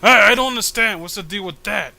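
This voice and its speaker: Male comedy podcast host, high and sharp-toned, reads aloud and riffs sarcastically in casual style. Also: brisk, vocal fry. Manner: sarcastic, joking. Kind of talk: comedic reading with casual commentary.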